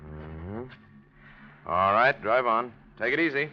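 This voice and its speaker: An actor playing an easygoing road ranger in an old radio drama, folksy and matter-of-fact. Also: male voice, on the low side, big pitch swings, slow pace, loud music behind the voice. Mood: easygoing, official. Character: easygoing male road ranger at a snowy checkpoint. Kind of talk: 1940s radio acting. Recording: dull, faintly noisy old radio recording.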